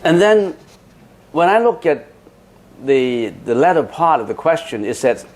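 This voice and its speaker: Chatty monk with frequent restarts. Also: male voice, fairly high pitch, very animated, measured pace, a little mellow. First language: Chinese. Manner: chatty, repeats words and restarts, laughs often. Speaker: Buddhist monk answering questions. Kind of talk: Dharma question-and-answer talk.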